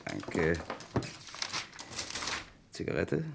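An actor playing a polite, persistent lawyer in an old radio crime play, talking in a measured, dry way. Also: male voice, background noise. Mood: puzzled. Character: puzzled male lawyer, middle-aged, polite, persistent. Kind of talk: 1950s-80s radio drama acting.

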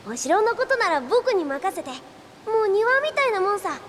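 A woman's voice: very high-pitched, sing-song, moderate pace, fairly bright tone, slight background noise, exaggerated voice. A woman playing a plucky but timid little boy sidekick in an audio drama, with a boyish, eager, whiny delivery. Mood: eager, helpful. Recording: long reverb with faint music.

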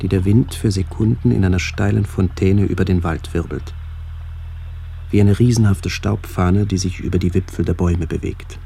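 A man playing a somber first-person narrator in a literary radio play, speaking in a measured, descriptive way. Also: deep voice, steady tone, gravelly, mellow, a little hiss or hum. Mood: somber, contemplative. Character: somber male first-person narrator remembering his aged aunts.

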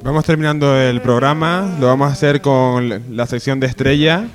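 A man with a mid-pitched voice hosts a radio show in a casual style. Music is clearly audible behind him. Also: moderate pace.

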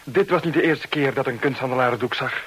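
An actor plays a dry, probing male hotel guest in a radio play. He speaks pointedly at a medium pitch, over clear noise from an old recording. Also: fast talker, close to an everyday voice. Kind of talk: Dutch radio drama acting.

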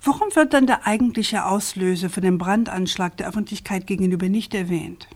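An actress plays an earnest woman journalist in a radio crime drama. She has a medium-pitched voice and argues deliberately, articulate and persistent. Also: lightly acted. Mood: insistent, challenging.

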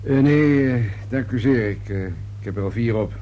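An actor playing a probing, patient police inspector in a radio play, with terse, dry questioning. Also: male voice, mid-range voice, normal speed, dull audio, natural voice. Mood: polite, casual.

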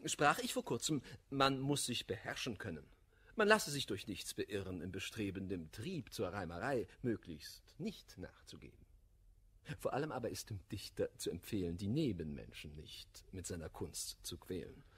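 An actor playing a stiffly polite but blunt and irascible gentleman in a classical verse comedy for radio, guarded and scornful of flattery. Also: lowish voice, normal speed, breathy edge, heavily acted. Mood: pointed, scornful. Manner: guarded, dry, exasperated.

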